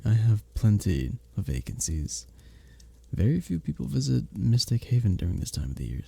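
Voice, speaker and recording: Man playing a dark-voiced, flirty medieval innkeeper. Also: on the low side, full character voice. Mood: friendly, reassuring. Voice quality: dark, husky, gravelly. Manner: formal old-fashioned diction, teasing, gently courteous. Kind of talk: romantic ASMR roleplay, scripted character acting.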